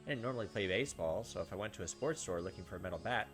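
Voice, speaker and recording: Fast-talking man reading over game music. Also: low-pitched, expressive. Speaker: gaming channel host doing a playthrough. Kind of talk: reading visual novel narration aloud with brief side comments. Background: visual novel background music.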